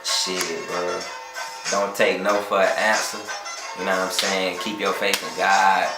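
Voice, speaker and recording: A man's voice: deep voice, level tone, unhurried. A young Southern man from Atlanta, talking in a laid-back drawl and often saying "you know what I'm saying". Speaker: rapper. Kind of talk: freestyle rap verse, then casual interview talk. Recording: echoey room with loud music behind.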